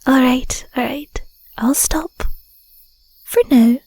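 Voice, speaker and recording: A woman voicing an affectionate, bashful young Scottish werewolf girlfriend in an intimate audio roleplay, soft, whispery and a little hesitant, close on the mic. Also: sits high, slow, breathy edge, dry recording, heavily acted. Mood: teasing, loving. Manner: hesitant, stammering, murmuring endearments. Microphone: close microphone.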